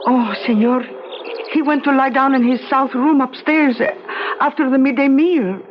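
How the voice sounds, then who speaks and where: An actress playing an anguished, deferential Mexican wife in a radio drama, with a Mexican Spanish accent and hesitant, formal phrasing. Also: female voice, sits high, fairly even intonation, normal speed, lightly acted. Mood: polite, nervous. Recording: dull radio recording with faint noise.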